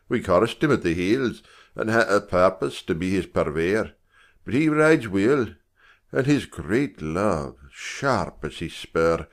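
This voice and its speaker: A man playing a gracious, stately king with a measured, courtly manner in a Shakespearean audiobook drama. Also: low-pitched, exaggerated voice. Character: gracious, stately male king visiting as guest.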